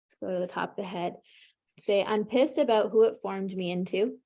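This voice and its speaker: A woman with a mid-range voice leads an emotional tapping session. She calls out tapping points and gives short phrases for her client to repeat. She stays calm and encouraging throughout. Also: medium pitch, moderate pace, gravelly. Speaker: EFT tapping coach.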